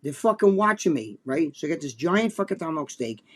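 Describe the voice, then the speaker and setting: Older New Jersey man, podcast co-host, foul-mouthed animated storyteller with a slightly higher voice. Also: a little fast, breathy edge. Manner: animated, profane, acts out quotes in a high voice. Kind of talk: casual storytelling chat.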